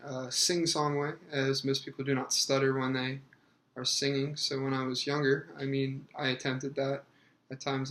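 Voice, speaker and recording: A man in his thirties answering interview questions hesitantly, with many fillers and pauses. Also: medium pitch, fairly bright tone, echoey room. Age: 30s.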